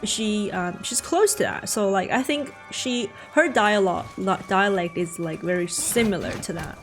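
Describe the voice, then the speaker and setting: A woman with a Mandarin accent and Mandarin as her first language, chatting casually and haltingly with her chat while streaming a game. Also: mid-range voice. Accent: Mandarin-accented English. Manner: halting, chatty, answers chat with many restarts. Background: game music.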